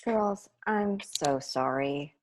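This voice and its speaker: A woman's voice: deep voice, animated, very slow, slight background noise, full character voice. An actress playing a loving, apologetic middle-aged mother in a sitcom table read over a video call.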